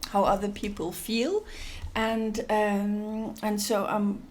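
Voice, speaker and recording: A woman's voice: medium pitch, level tone, slow pace, a little mellow. A woman from Denmark, an NGO executive director, answering interview questions thoughtfully with many fillers and restarts.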